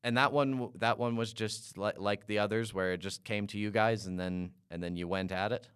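A man from Canada hosting an interview and asking long, halting questions full of "uh"s and restarts. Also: lowish voice, fairly even intonation, a bit bright, smooth, no room sound, studio quality. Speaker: podcast host.

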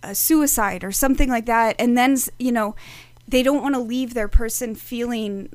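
A woman's voice: fairly high pitch, normal speed, no echo. A woman, a psychic medium, chatting casually as a podcast guest, laughing often and restarting her sentences. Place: studio.

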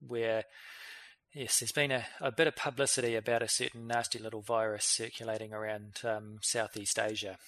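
A man's voice: on the low side, level tone, moderate pace, fairly bright tone. A man hosting a vet podcast, chatting casually with many fillers and restarts. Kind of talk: casual interview chat.

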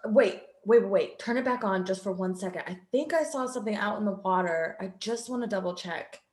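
A woman's voice: medium pitch, a little fast, natural voice. A woman playing a flustered, indignant partner in an improv comedy sketch, recorded in an echoey room. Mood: anxious.

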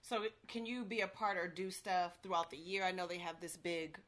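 A woman's voice: on the low side, steady tone, moderate pace, a bit bright. Chatty woman interviewer. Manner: chatty, frequent affirming mm-hmms. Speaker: livestream interviewer. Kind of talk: casual interview.

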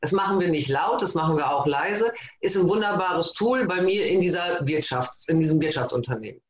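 Guest: bubbly, overexcited man, high voice, echoey room with a dull, muffled sound. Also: a little fast. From East Frisia, Germany. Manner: excited, bubbly, self-described as overexcited. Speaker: guest, course facilitator. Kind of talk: casual podcast interview.

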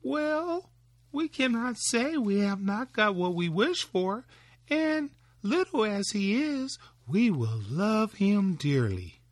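A man voicing a gentle, kind poor woodman's wife who longs for a child, in a tender manner. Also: high-pitched, very animated, slow, no echo, pitched above the performer's own voice, heavily acted.

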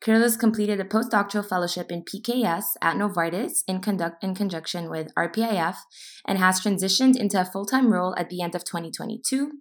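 A young woman in her twenties co-hosting an interview podcast, reading a clear intro and then asking organized questions. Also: mid-range voice, fast. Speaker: podcast co-host.

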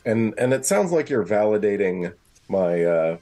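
A man's voice: on the low side, very animated, unhurried, dark tone. A man playing a tabletop roleplaying game, chatting casually and improvising as he goes. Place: remote online call.

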